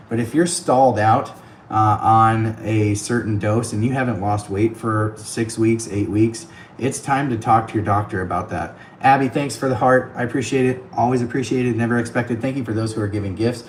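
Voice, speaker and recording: A man's voice: fairly low pitch, echoey room, slight background noise. Chatty man hosting a livestream. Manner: chatty, thanks viewers by name, laughs often. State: voice getting worn. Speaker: livestream host. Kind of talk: casual livestream chat with viewers. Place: on the road, streaming from an iPad. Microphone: tablet mic.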